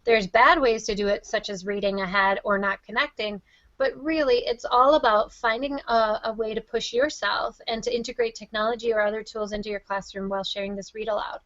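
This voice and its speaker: A woman's voice: on the high side, steady tone, brisk, a bit bright, no echo, muffled recording. A woman giving enthusiastic, effusive, conversational answers as an interview guest. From Wisconsin, United States. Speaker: interview guest, teacher and reading project founder.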